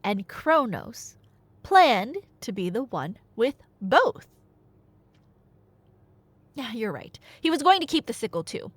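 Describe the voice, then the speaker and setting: Woman as nerdy girlfriend, ASMR roleplay: highish, very lively pitch, chatty myth-telling with teasing asides and dramatic pauses. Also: breathy edge, dry recording, exaggerated voice. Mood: dramatic storytelling. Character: nerdy girlfriend rambling excitedly about Greek myths. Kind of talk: conversational ASMR roleplay storytelling.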